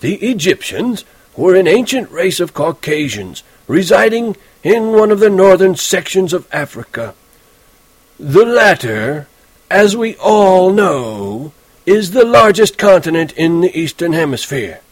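A man reading an audiobook, voicing a sarcastic old male history teacher who speaks slowly and deliberately. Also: high-pitched, big pitch swings, dry recording, voice pushed up, exaggerated voice, poor audio. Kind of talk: audiobook character voice.

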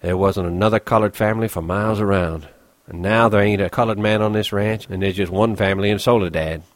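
Gruff, bitter ranch hand, as a man puts him on. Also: lowish voice, fairly even intonation, brisk, no echo, close to an everyday voice. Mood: brooding. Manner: gruff, complaining, rough rural dialect.

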